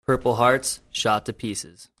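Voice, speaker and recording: A man's voice: mid-range voice, flat delivery, takes it slowly, fairly bright tone. A man playing a brash soldier on a covert team in a radio drama, blunt and slangy. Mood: agitated.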